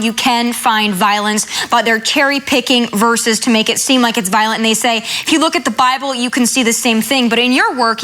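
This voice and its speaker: A woman hosting a TV interview, asking measured, probing questions. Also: fairly high pitch, fairly bright tone. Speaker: TV news host.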